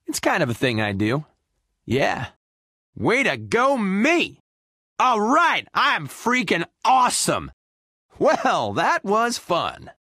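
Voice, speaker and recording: A man's voice: medium pitch, a bit bright, no room sound, clean studio sound, full character voice. A man voicing a cocky, wisecracking, boyish space adventurer in a video game, with a brash, sarcastic, theatrical delivery. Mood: triumphant, bragging. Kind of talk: video game character voice lines.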